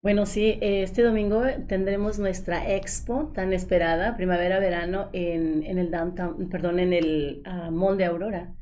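A woman's voice: on the low side, little pitch movement, moderate pace, hardly any pauses, mellow, a little hiss or hum. A woman guest explaining plans for her event in a casual interview.

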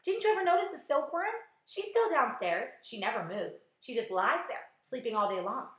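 A woman voicing an old male grasshopper, kindly, excitable and emphatic, in a children's storybook. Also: mid-range voice, normal speed, close to an everyday voice. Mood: excited, emphatic. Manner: sharp, emphatic exclaiming. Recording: echoey, roomy sound.

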